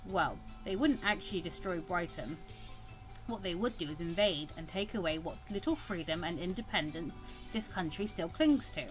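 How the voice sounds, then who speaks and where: A stern male mentor, acted by a woman in a visual novel. He lectures in a lowered, measured voice, dry and condescending. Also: expressive, faint music behind, natural voice, low-quality recording. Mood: lecturing.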